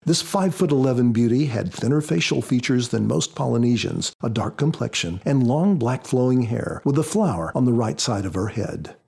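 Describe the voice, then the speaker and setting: A man reading scripted first-person audiobook narration in a measured storytelling manner. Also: mid-range voice, lively intonation, gravelly, mellow, breathy edge. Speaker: author narrating his audiobook.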